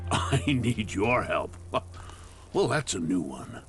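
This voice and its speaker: Deep-voiced, ruthless wasteland boss. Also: male voice, big pitch swings, slow pace, fairly bright tone, soft background music, full character voice. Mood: challenging. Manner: menacing, boastful, blunt. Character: ruthless gang boss duke ruling a wasteland town. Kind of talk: video game voice acting.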